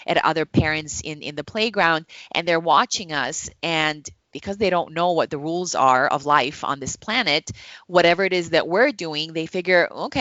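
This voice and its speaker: A woman from Serbia speaks as a psychologist guest on a podcast, earnest and thoughtful, restarting her sentences often. Her voice is bright and forceful. The recording is dry and slightly dull. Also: low voice, no echo. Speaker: psychologist and parenting coach, guest. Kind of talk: podcast interview answer.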